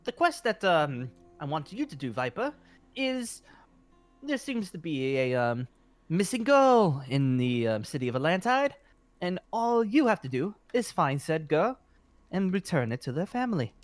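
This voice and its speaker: Guild master mage played by a man: higher-pitched, cheerful, rambling and courteous, hesitant delivery, game roleplay. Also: big pitch swings, no echo, faint music behind. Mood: coaxing. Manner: hesitant, halting, polite. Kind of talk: improvised Minecraft roleplay acting.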